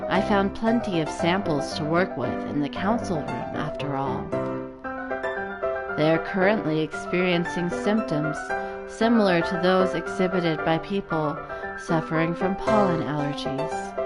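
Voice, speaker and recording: A woman voicing a cold, uninterested male bird doctor who speaks formally and flatly in a visual novel. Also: fairly low pitch, a little slow, lightly acted. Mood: clinical, subdued. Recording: loud game music behind voice.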